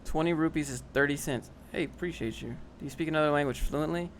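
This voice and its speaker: A man's voice: a little high, fast talker, slight background noise. A young man casually reading and answering chat on a livestream, dry and sarcastic, often rambling.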